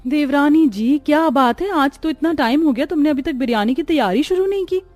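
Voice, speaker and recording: An irritable, bossy sister-in-law from a cartoon family tale, put on by a woman. She speaks high and emphatically, snapping out orders over light music. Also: lively intonation, a little fast, a little mellow, no echo. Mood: nagging.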